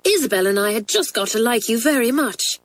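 A woman's voice: fairly high pitch, expressive, fairly quick, pitched above the performer's own voice. A woman voicing an earnest, eager schoolgirl who speaks in a raised, pleading voice in a storybook reading.

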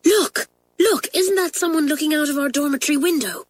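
A woman voicing Pat, an eager, quick-witted schoolgirl who talks in urgent whispers. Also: high voice, very animated, pitched above the performer's own voice. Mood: alarmed.

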